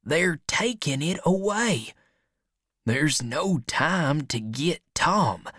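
Narrator doing a kid in an audiobook, voice way up high, earnest, urgent, kinda scared. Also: very animated, extremely slow, a bit bright, no room sound, clean studio sound, exaggerated voice. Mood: excited thinking. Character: earnest frightened boy, urgent and pleading.

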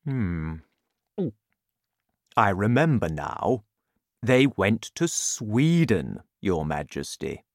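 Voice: deep voice, sing-song, drawn-out words, pauses often, no room sound, clean studio sound, voice pushed down, exaggerated voice. A reader playing a big, happy, hungry giant. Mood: thoughtful.

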